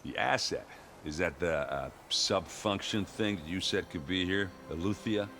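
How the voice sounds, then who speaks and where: A boisterous warrior buddy with a low, bright-edged voice that swings lively up and down as he cracks jokes. He is chatty and playful, and a faint hiss hangs behind the game scene. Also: a little slow, heavily acted. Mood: curious. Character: boisterous, joking male warrior companion. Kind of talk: video game cutscene acting.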